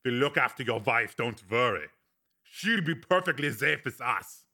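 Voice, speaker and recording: A man's voice: mid-range voice, expressive, measured pace, sharp tone, full character voice. A man voicing a cool, commanding investigator in an audiobook, speaking formally and precisely with polite authority. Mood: cool, resolute. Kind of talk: audiobook character acting.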